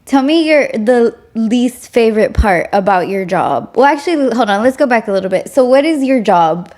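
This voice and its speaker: A woman's voice: a little high, lively intonation, a little mellow. A woman from Mexico, a native Spanish speaker, hosting a casual podcast and chatting in a frank, talkative way. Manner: chatty, frank, quick to agree and laugh. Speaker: podcast host.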